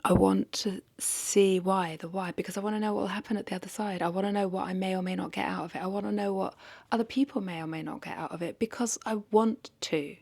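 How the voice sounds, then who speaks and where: A woman's voice: mid-range voice, steady tone, fast talker, creaky, hardly any pauses, a little mellow. A woman talking casually and confessionally to camera as a vlogger, hesitant and reflective, questioning herself and repeating phrases.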